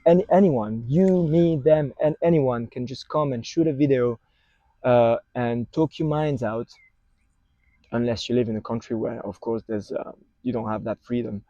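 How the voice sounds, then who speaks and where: A man's voice: medium pitch, steady tone, normal speed, warm tone, no room sound, highs cut off. A man in his twenties with a French accent, speaking hesitantly to camera in a park, with lots of fillers and restarts. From France. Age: 20s. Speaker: vlogger. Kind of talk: casual talk to camera. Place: city park outdoors. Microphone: wireless clip-on mic recorded to phone.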